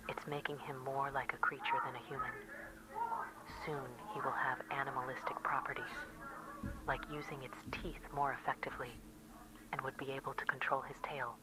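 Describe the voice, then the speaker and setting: A woman narrating a scripted horror story in a breathy whisper. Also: very deep voice, flat delivery, normal speed, very noisy.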